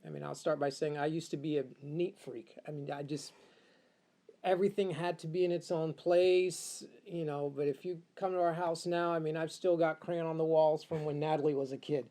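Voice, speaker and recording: A man's voice: on the high side, fairly even intonation, moderate pace, mellow. A middle-aged man from Uganda talking reflectively and casually as an interview guest, repeating phrases for emphasis. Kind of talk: casual personal conversation.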